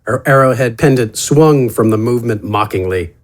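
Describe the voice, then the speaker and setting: A man narrating a scripted fantasy story in a dramatic, deliberate storytelling manner. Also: medium pitch.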